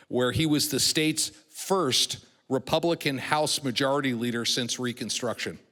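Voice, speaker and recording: A man's voice: medium pitch, a bit bright. A man hosting an event, giving a formal, partly read introduction of a speaker. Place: large event hall, public address. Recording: echoey hall with long reverb.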